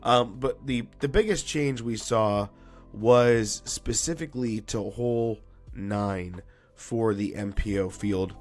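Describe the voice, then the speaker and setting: A man hosting a solo sports recap podcast, chatty and upbeat, his voice a little raspy and deeper from allergies. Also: darkish tone. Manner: chatty and upbeat, with frequent fillers and restarts. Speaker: podcast host.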